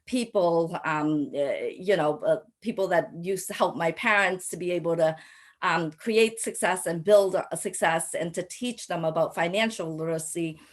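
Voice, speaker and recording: A woman in her forties from Cambodia, telling her personal story as a panelist in a halting way, often pausing and repeating phrases. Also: on the low side. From Cambodia, raised in a Thai refugee camp and the US. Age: 40s. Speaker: panelist, local elected official.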